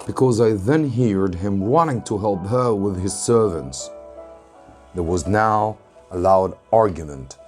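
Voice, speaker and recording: A man from Egypt, an English teacher, reading a story aloud with deliberate, dramatic emphasis. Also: low-pitched, a little mellow.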